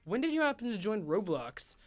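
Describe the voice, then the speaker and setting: High-voiced young man, hoarse and rambling. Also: very animated, brisk. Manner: rambling, filler-heavy, self-interrupting. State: losing his voice. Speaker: host. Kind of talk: casual livestream chat while gaming.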